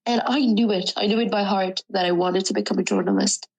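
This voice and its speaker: A young woman from Sudan, a native Arabic speaker, earnestly reflecting on her life in an interview, pausing with ums and correcting herself. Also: mid-range voice, fairly even intonation, gravelly, darkish tone, poor audio. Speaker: student interviewee. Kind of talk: personal interview.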